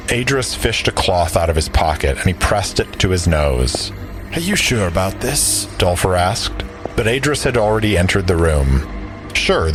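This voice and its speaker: Man, low voice, storytelling narration, scripted audio drama, music bed. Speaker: narrator and player.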